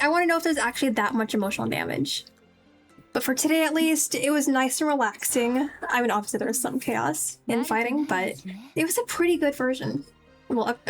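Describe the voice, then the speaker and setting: A woman game streamer chatting casually and enthusiastically to viewers. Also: sits high. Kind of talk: casual livestream chat.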